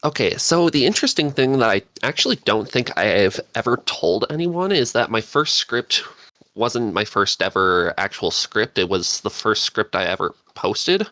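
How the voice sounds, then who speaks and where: Bright-toned low-pitched man, reflecting casually. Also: expressive, normal speed, dry recording. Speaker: panel guest, script writer.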